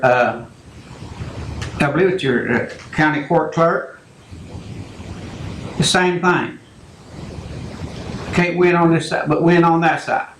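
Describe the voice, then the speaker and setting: A man hosting a call-in radio show, talking in a slow, halting, rambling way. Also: a little high, reverberant, a little hiss or hum, rough recording. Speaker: radio talk show host.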